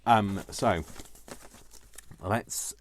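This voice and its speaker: A low-pitched man chats his way through a hands-on craft demonstration. He rambles easily, poking fun at himself with little jokey asides. There is noticeable noise on the recording. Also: very slow. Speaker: craft tutorial presenter. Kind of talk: casual, chatty craft demonstration. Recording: noticeable background noise, small clicks.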